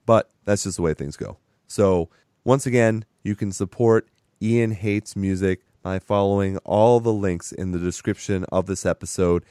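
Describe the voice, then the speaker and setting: A man hosting a casual podcast chat with a hoarse, raspy voice that is strained from sickness; he talks in a chatty, rambling way and laughs often. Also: sits low, steady tone, moderate pace, warm tone, no room sound, studio quality. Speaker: podcast host.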